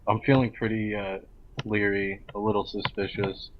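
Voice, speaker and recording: A man's voice: on the low side, flat delivery, normal speed. A man taking part in a role-play game as a player, chatting casually over a lo-fi voice-chat mic. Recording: dull noisy lo-fi voice-chat mic.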